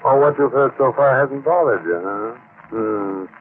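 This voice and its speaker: An actor playing a sinister, teasing host who narrates a vintage radio mystery. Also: male voice, mid-range voice, animated, measured pace, close to an everyday voice. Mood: mocking. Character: sinister, teasing horror-show host taunting listeners. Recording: tinny, noisy old-time radio recording.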